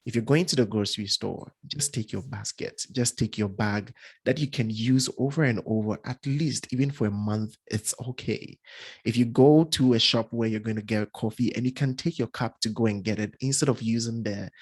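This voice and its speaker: A man's voice: medium pitch, a little mellow, no echo. A young adult man from Ghana, speaking as an interview guest in an earnest, casual way, often repeating words and laughing lightly. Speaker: guest, environmental engineer.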